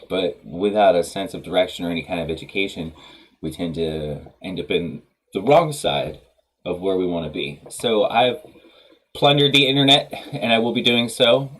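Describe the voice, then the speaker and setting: A man's voice: fairly low pitch, fairly bright tone, slightly rough, poor audio. A man in his thirties hosting a music livestream from a home studio, talking in a rambling casual way with lots of ums, his nose stuffed up from a cold. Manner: rambling, many ums and restarts, laughs easily. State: congested from a cold. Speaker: livestream host of a music workshop.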